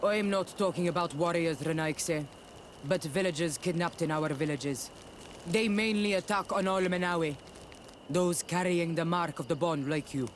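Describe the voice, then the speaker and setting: A man's voice: fairly high pitch, moderate pace, slight background noise, lightly acted. A man playing a proud, stern rebel warrior leader in a video game, speaking bluntly and solemnly. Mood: defiant, grave. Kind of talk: scripted video game cutscene dialogue.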